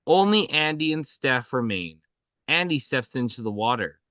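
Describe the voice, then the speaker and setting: A man reading out screenplay action at a table read in a halting way, often stumbling and correcting himself. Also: mid-range voice, expressive, pushed and bright, no room sound. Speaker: reader of stage directions. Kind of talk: scripted narration at a table read.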